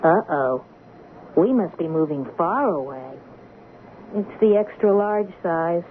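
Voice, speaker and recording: A woman playing a wry, sharp-witted teenage daughter in a radio drama, with a wisecracking, dramatic delivery. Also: fairly low pitch, animated, slow pace. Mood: dismayed. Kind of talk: radio drama acting with first-person narration. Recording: tinny, noisy old radio broadcast.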